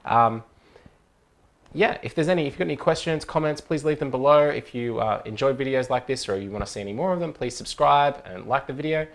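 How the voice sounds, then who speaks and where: A man in his thirties with a mid-pitched voice presents a tutorial. He explains each step informally, like a casual instructor, and checks in with the listener as he goes. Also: brisk.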